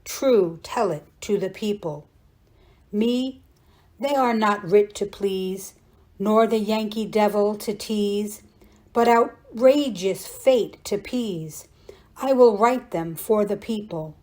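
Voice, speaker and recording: A woman reciting poetry in a deliberate, declamatory way. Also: mid-range voice, darkish tone. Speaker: poetry reader.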